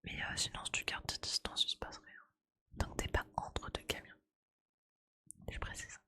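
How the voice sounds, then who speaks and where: A woman's voice: normal speed, sharp tone. A woman whispering breathily in a casual, playful ASMR chat, with slangy, colloquial speech as she streams to her viewers.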